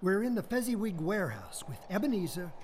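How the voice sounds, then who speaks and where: A woman's voice: medium pitch, sing-song, fast talker, darkish tone, slight background noise. A woman giving scripted narration for a radio play.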